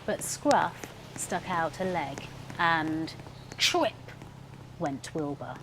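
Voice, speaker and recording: A woman's voice: sits low, expressive, drawn-out words, noisy background. A woman professional storyteller narrating a children's story expressively, leaning into the sound words. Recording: music behind the voice. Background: music.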